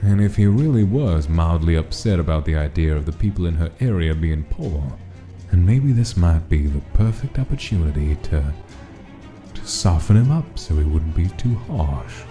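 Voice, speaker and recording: Male narrator for a scripted story, low-pitched and velvety dark, light music bed. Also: lively intonation, moderate pace. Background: soft music.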